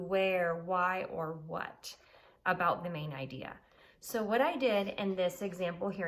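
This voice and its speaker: A woman with a mid-pitched voice speaks as a teacher to young pupils. She explains in simple words, repeats key points and reads aloud. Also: a bit bright.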